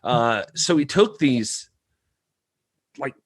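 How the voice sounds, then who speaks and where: A man's voice: mid-range voice, big pitch swings, extremely slow, dry recording. A man co-hosting a film podcast, rambling in a laid-back, self-deprecating way. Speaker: podcast co-host. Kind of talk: casual film discussion.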